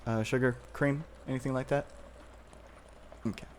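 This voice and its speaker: A man playing an obsessive, tender but possessive childhood-friend boyfriend in an ASMR roleplay, speaking softly and hesitantly with nervous pauses. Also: medium pitch, fairly even intonation, a little hiss or hum, close to an everyday voice. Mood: casual, reflective.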